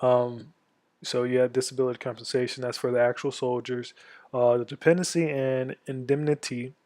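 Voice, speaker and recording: A young man in his twenties from Florida talks through a step-by-step tutorial, pausing often with ums and restarts. He sounds stuffed up from a cold, and his delivery stays flat and level. The recording is dry, with no room echo. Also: mid-range voice. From Florida, USA. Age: 20s. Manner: halting, many ums and restarts. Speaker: army veteran giving a tutorial. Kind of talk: casual screen-share tutorial.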